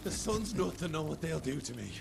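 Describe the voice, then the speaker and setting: A man's voice: a little high, sing-song, fairly quick, creaky, exaggerated voice. An actor playing a slippery, haughty male vampire dandy in a video game cutscene, with an affected, theatrical way of talking. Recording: loud effects and ambience behind.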